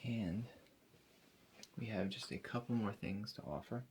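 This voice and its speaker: A man doing an ASMR roleplay as a spa attendant, addressing a client in a hesitant, rambling, unhurried manner. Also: fairly low pitch, a little mellow, a little hiss or hum.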